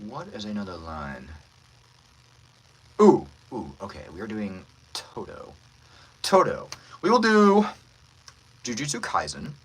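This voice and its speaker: A man's voice: fairly low pitch, sing-song, a little slow, pauses often, husky, rough recording. A man who works as a voice actor, hosting a casual livestream and politely answering viewer comments with self-deprecating asides.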